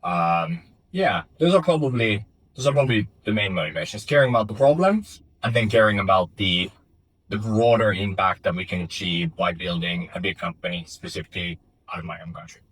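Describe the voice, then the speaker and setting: A young man from Finland speaks in a low, husky voice, giving interview answers about his startup. He talks thoughtfully, pausing with fillers and restarting his sentences. The sound is dry and close, with little room echo. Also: lively intonation, fairly bright tone. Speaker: startup founder. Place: office in Finland.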